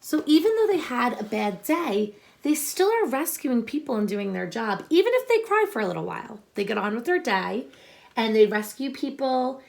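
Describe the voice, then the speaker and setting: A woman teacher reading a picture book aloud to children, expressive and instructive, pausing to explain and ask questions. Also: fairly high pitch, creaky. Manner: deliberate, instructive, addressing young listeners with questions.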